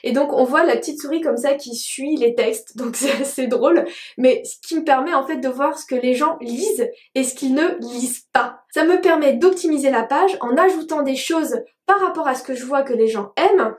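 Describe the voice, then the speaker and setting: A woman's voice: high voice, moderate pace, reverberant. A woman coaching online sellers, explaining a web tool in a chatty tutorial with frequent fillers. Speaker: e-commerce coach. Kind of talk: screen-share tutorial.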